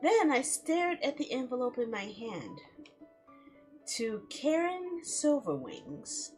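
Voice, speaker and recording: High-pitched, animated woman streaming a story game. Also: slow. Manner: theatrical, expressive, giggly asides. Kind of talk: playful visual novel read-aloud with reactions. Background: background music.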